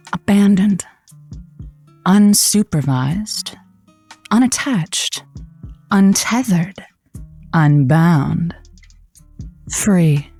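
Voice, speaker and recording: A woman playing a flirty, sharp-tongued late-night radio host in a comedy audio drama, with a suggestive, wry, playful manner. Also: fairly low pitch, full character voice.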